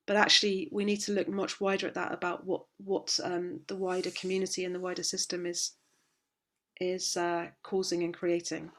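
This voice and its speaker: A woman with a slightly hoarse voice, a guest on a video call speaking with indignant passion about parenting. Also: on the low side, little pitch movement, moderate pace, a bit bright. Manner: impassioned, indignant, with many restarts. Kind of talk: conversational interview, passionate advocacy.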